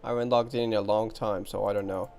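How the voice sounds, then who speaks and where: A man's voice: on the low side, flat delivery, fast talker, darkish tone, slight background noise. A man doing casual live commentary on a game playthrough, chatty and thinking aloud off the cuff. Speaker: game streamer. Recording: game music behind the voice.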